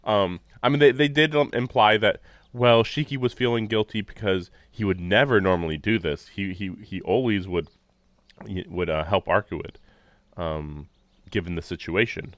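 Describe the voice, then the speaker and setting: A man's voice: lowish voice, moderate pace, dry recording. A man live streaming a visual novel, reading its text aloud with casual, informal commentary. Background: faint game music.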